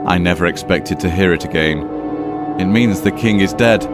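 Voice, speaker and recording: Actor as deep-voiced, formal alien king. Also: moderate pace, over loud music, close to an everyday voice. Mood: grim. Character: grave, dutiful male alien king in hiding. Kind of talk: audio drama acting.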